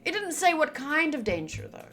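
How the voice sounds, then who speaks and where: Woman, game streamer, high bright voice, reads aloud and comments, exclamatory, music behind. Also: very animated, normal speed. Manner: reacts out loud, exclamatory. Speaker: visual novel playthrough streamer. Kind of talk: gaming commentary and reading game text aloud.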